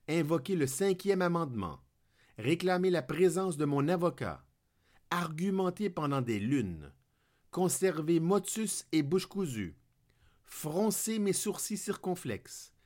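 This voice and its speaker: Slow, lively Québécois man storytelling. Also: a little high. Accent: Québécois French. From Quebec. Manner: playful, declamatory wordplay. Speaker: podcast host. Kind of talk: scripted humorous monologue.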